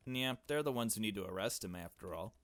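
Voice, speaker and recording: A blunt, secretive young girl detective from a visual novel game, played by a man with a fairly low voice. She is curt and dismissive, heard close and dry. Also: lively intonation, fast talker, fairly bright tone, no room sound. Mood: irritated, dismissive. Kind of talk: visual novel dialogue read aloud.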